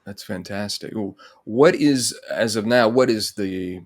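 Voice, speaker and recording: Low-pitched male interviewer. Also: a little slow. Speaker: host, interviewer. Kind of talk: casual interview. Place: indoor room on a video call.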